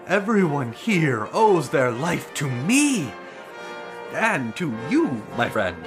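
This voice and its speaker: A man's voice: on the high side, sing-song, takes it slowly, music in the background, full character voice. An actor playing a flamboyant, arrogant prince in an audio drama, grandiose and declamatory as he savors his words. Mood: gloating, delighted. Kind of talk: theatrical audio drama acting.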